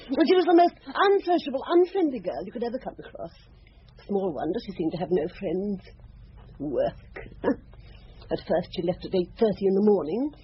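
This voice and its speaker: A woman's voice: medium pitch, breathy edge. An actress with a British accent playing a haughty, indignant older French landlady in a radio drama. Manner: indignant, clipped, disdainful. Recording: dull, hissy radio drama recording.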